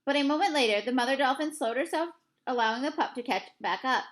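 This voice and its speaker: A woman reading a novel aloud like a teacher, with dramatic, deliberate storybook narration and the odd stumble over words. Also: high-pitched.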